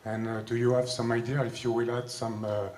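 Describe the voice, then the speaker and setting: A man's voice: mid-range voice, little pitch movement, fairly quick, darkish tone. A man hesitantly asking a question as a journalist at a press conference. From France. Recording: echoey, noisy room.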